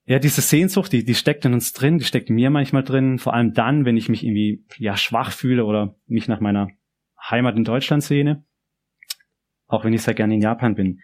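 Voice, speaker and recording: A man's voice: mid-range voice, normal speed, a little mellow. A young man with a southern German accent giving a personal, conversational youth church sermon, often repeating and restarting phrases. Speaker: preacher.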